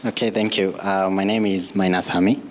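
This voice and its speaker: A man's voice: low voice, little pitch movement, brisk, slight background noise. Young man asking a question in a hall. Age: young adult. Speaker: audience member.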